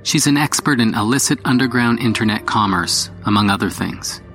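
An actor playing an investigative podcast host, narrating a mystery audio drama in a measured way with deliberate pauses. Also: male voice, mid-range voice, bright, forceful tone. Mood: matter-of-fact. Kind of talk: scripted audio drama narration, documentary style. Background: music.

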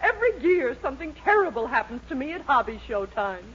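A woman's voice: high-pitched, big pitch swings, fast talker. Bossy american landlady wife, theatrical, voice swooping all over, muffled old radio comedy. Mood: lamenting. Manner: domineering, theatrical, sharp. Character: imperious, melodramatic middle-aged landlady and wife. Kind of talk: 1940s radio sitcom acting. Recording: muffled, hissy old 1940s radio recording.

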